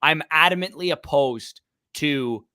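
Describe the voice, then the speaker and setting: A man's voice: fairly high pitch, unhurried, sharp tone, clear, resonant tone, dry recording, clean studio sound. A young man co-hosting a casual sports podcast, opinionated and rambling, often laughing. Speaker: podcast co-host.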